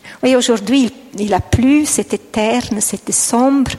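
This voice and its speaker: Middle-aged woman, Italian accent, gentle halting testimony, reverberant hall. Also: a little high. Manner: gentle, hesitant, with frequent restarts. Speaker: speaker giving testimony. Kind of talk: personal faith testimony to an audience.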